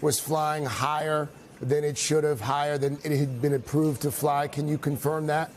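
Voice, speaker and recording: An American man acts as a morning news interviewer, his voice a little high for a man. He sounds serious and deliberate, restarting phrases as he shapes his questions. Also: fairly even intonation, a little hiss or hum.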